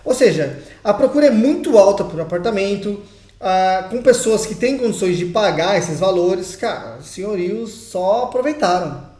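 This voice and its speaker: A man's voice: high voice, animated, moderate pace, warm tone. A young Brazilian man with a Brazilian Portuguese accent, talking in a chatty, emphatic vlog and speaking straight to his viewers. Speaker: vlogger. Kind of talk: casual opinion vlog. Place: home in Portugal. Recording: roomy echo.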